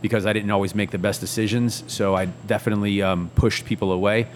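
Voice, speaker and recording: A man in his thirties, a chef, talking in a relaxed, easygoing way as an interview guest, with many fillers and restarts. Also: fairly low pitch, fast, a little hiss or hum. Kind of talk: casual reflective interview.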